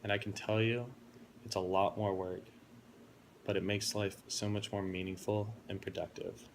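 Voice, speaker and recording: A college-age man from Florida giving a prepared, earnest introduction speech in a steady, reflective way. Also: fairly low pitch, moderate pace, background noise. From Florida, United States. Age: 20s.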